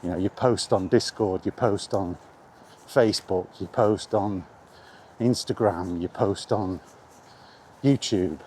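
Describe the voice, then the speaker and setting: British bloke middle aged, relaxed dark tone, bit sweary and meandering, chatting outside w faint ambient noise. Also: male voice, deep voice, slow pace, long pauses. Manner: informal, meandering, mildly sweary. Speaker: video maker chatting about video games. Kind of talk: casual rambling vlog chat. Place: outdoors in the rain, walking.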